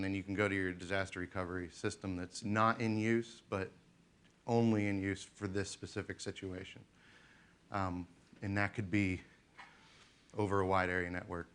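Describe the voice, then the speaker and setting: Man with a low voice presenting. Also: a bit bright, clean tone. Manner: loose, with many "um"s and restarts. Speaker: conference presenter. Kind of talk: technical conference talk. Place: conference room.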